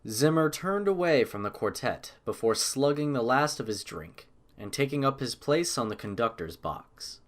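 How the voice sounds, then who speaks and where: A man's voice: mid-range voice, lively intonation, moderate pace, hardly any pauses. A man narrating a story as a scripted audiobook.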